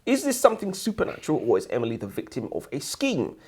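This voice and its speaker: A man chats casually about books as a co-host. His pitch leaps around dramatically and his voice has a husky grain. He talks in slangy, exclamatory bursts, often sounding comically incredulous. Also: huge pitch swings, quick pace, a little mellow. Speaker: co-host of a book review show. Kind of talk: casual comedic book discussion. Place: warm room, seated in comfy chairs.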